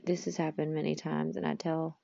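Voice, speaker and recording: Woman rambling on her podcast, raspy low voice, dry kinda muffled sound. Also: level tone, brisk, no echo. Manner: rambling, heartfelt, drifting into soft whispers. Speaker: podcast host. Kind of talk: casual reflective monologue.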